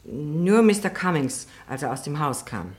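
Anxious female witness, very low voice, hesitant and deferential, radio courtroom drama. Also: big pitch swings, unhurried. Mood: uneasy recounting. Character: timid, anxious woman witness testifying in court.

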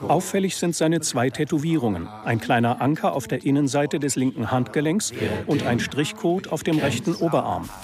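An actor playing an announcer reading a police appeal in a radio crime drama, formal and clipped. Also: male voice, a little high, normal speed, few pauses, a crowd in the background, natural voice. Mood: official. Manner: clipped, official.

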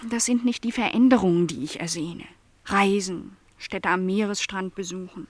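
An actress playing a lonely twenty-year-old housemaid in a radio play, wistful and stubborn, speaking earnestly and insistently. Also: female voice, mid-range voice, moderate pace, dry recording, natural voice. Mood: hesitant, yearning. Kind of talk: literary radio play dialogue.

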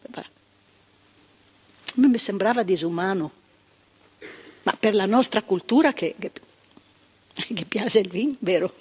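An actress playing an older woman who once worked in a psychiatric hospital, telling her memories, dropping to hushed tones and rising to emphatic outbursts. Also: medium pitch, slow pace, long pauses, slightly rough. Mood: indignant recollection. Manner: vivid storytelling, swinging from hushed to emphatic outbursts. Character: older woman, former asylum ward worker, indignant. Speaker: monologue performer. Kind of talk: stage monologue.

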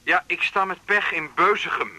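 An actor playing an attentive lover, a musician, speaking through a telephone filter in a naturalistic radio drama. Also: male voice, high voice, moderate pace, bright, forceful tone, low-quality recording. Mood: matter-of-fact. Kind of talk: naturalistic 1980s Dutch radio drama acting.

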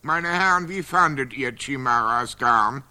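A man playing a gruff, earthy old crusader knight in a radio play, blunt and colloquial. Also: mid-range voice, measured pace, pushed and bright. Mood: grumbling. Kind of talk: German radio play acting.

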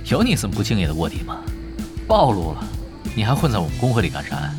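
A man's voice: lowish voice, huge pitch swings, fast, over music. An actor playing a laid-back, teasing male guild leader from an online game, in a playful audio drama style. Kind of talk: Chinese audio drama acting.

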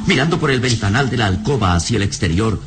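A man's voice: fairly low pitch, expressive, quick pace. A man narrating an old Latin American radio serial in a solemn, theatrical, ominous style over dramatic music.

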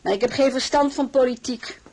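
An actress playing a familiar, matter-of-fact maidservant in an audio drama, slightly husky. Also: female voice, sits high, fairly quick, a little mellow. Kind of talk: Dutch audio drama acting.

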